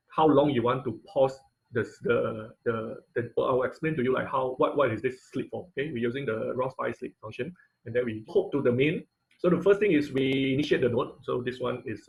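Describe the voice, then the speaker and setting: A man with an average-pitched voice teaches a live technical class online. His delivery is informal and halting, with repeated words and frequent little check-ins as he walks through each step. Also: creaky, rough recording. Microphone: online video call.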